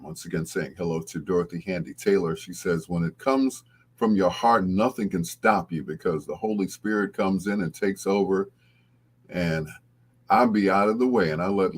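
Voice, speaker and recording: Deep, husky-voiced male radio host. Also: normal speed. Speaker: late-night radio music show host. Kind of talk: casual radio host chat to live listeners. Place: broadcasting on radio and live video stream.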